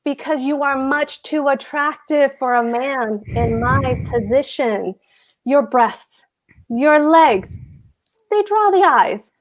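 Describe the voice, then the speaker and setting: A woman's voice: sits high, animated, slow pace, rough recording. A woman reading the part of a pompous, anxious husband, a fussy government clerk, at a table read of a stage comedy. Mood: lecturing, fretful. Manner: pompous, hectoring, fretful.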